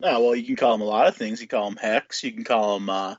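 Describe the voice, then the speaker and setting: A man's voice: lowish voice, quick pace, husky, dry recording. A man chatting casually on a hobby podcast, talking through game details in an informal, conversational way. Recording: dull, lo-fi podcast audio.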